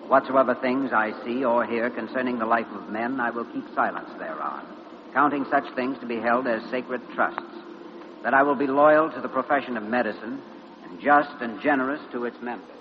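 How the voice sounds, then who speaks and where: A man's voice: mid-range voice, moderate pace, background noise, lightly acted. A man playing a solemn, declamatory narrator of a vintage radio hospital drama. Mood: solemn oath. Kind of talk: 1940s-50s radio narration. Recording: muffled vintage radio, music behind.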